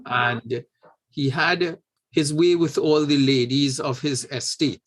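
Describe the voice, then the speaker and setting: A man from Trinidad and Tobago, a guest in an interview, telling a family story in an unhurried, anecdotal way with frequent restarts. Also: a little high, steady tone. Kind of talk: conversational storytelling.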